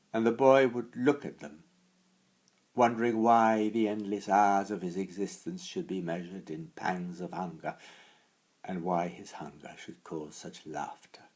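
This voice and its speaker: A man reading a dark storybook tale in a theatrical manner, moving between hushed and swelling phrases. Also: fairly low pitch, steady tone, measured pace. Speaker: author reading his own story. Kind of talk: dramatic storybook narration.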